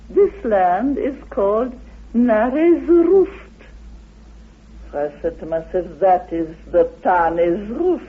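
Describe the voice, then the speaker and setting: A woman speaks slowly and deliberately, lecturing as an interviewed researcher. Her husky voice swings widely in pitch for dramatic emphasis. The old radio recording is narrow and phone-like. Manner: deliberate, dramatic, with rhetorical questions. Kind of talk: spoken lecture-like explanation.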